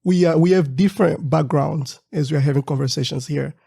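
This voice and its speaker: A man co-hosting a podcast, explaining things in a steady way with pauses. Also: fairly high pitch, creaky, breathy edge. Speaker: podcast co-host. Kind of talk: casual podcast chat.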